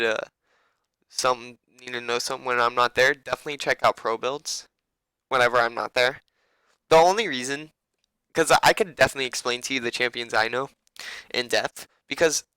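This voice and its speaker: Young man, raspy, crisp, rambling, swearing, casual coaching. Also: medium pitch, pushed and bright, no echo, poor audio. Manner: loose, profane, rambling. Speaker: game coach.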